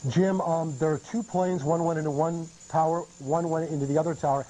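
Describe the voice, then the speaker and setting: A man anchoring live breaking news, asking unscripted questions haltingly and rephrasing as he goes. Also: fairly high pitch, steady tone, mellow, low-quality recording. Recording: archived TV broadcast with faint noise.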